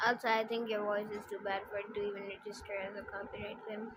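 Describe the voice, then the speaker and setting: A woman's voice: mid-range voice, flat delivery, fast talker, background noise. A woman chatting casually on a game livestream in a blunt, opinionated, slangy way. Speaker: streamer playing a game. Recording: music playing behind the voice. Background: game music.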